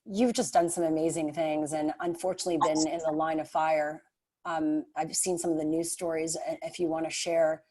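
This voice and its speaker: A woman hosting a livestream panel, asking gentle, encouraging questions. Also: sits low. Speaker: host. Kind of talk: interviewing guests on a livestream. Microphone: video call.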